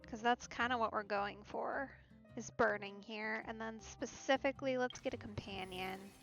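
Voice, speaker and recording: A woman giving casual commentary as she plays a video game on stream, thinking aloud haltingly with murmured asides. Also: a little high, steady tone, creaky, fairly bright tone. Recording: muffled lo-fi audio, faint game music.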